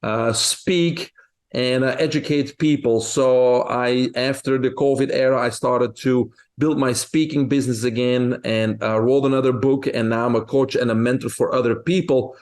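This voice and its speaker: A man's voice: medium pitch, steady tone, normal speed, darkish tone. A man in his fifties with a Belgian accent, answering interview questions conversationally as a health coach and speaker. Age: 50s.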